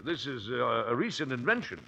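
A man's voice: medium pitch, big pitch swings, moderate pace, pushed and bright, slightly rough, exaggerated voice. An actor playing an exasperated but dignified older father and president in an old-time radio drama, speaking formally and with dry patience. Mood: firm, dignified. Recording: muffled vintage radio recording.

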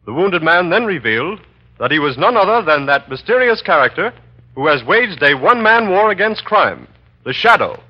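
Old timey radio announcer guy, scripted and punchy, raspy, kinda dull muffled sound. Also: sits high, big pitch swings, moderate pace. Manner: emphatic, formal. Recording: muffled 1930s radio recording.

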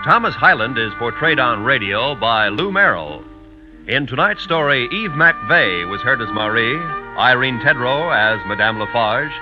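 A man reading scripted credits and announcements in the style of a 1950s network radio announcer. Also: mid-range voice. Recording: dull vintage radio recording with music.

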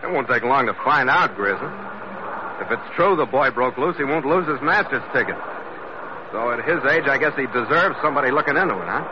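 A man's voice: medium pitch, lively intonation, brisk, natural voice. Man acting a tough sea captain, old-radio sound. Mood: brisk, firm. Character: tough, decisive sea captain who narrates his adventures. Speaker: actor in the lead role. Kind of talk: classic radio drama acting and narration. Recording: dull, noisy old radio recording.

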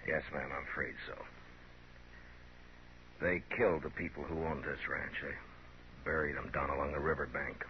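A man playing a stern, steady frontier lawman in a 1950s radio western, terse and commanding. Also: a bass voice, moderate pace, long pauses. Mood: somber, courteous. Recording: noisy muffled 1950s radio recording.

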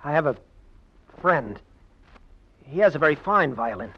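An actor playing a nervous but ruthless American violinist in an old radio drama, earnest and tense. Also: male voice, a little high, sing-song, normal speed, breathy edge. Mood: flustered. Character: nervous male violinist with a ruthless, murderous streak. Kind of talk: old-time radio acting. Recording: dull vintage radio with faint hiss.

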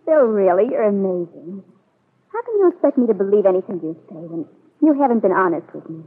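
A woman's voice: mid-range voice, big pitch swings, fairly quick, slightly rough. An actress with an American accent playing a proud, hurt young woman, cool and formal, in an old radio soap opera on a muffled vintage recording. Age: young adult. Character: proud, hurt eighteen-year-old country girl, coolly aloof.

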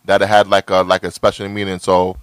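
A man's voice: deep voice, monotone, fairly quick, clear, resonant tone, dry recording. Laid-back slangy male podcast host. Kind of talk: casual podcast chat.

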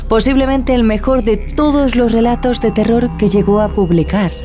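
A woman's voice: a little high, moderate pace, warm tone. A woman presenter reading a scripted, dramatic introduction to a horror story. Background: music.

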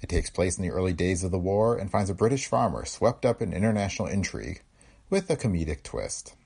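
A man with a gravelly voice hosting a podcast with scripted narration. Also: low voice, animated, fairly quick, darkish tone. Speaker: podcast host.

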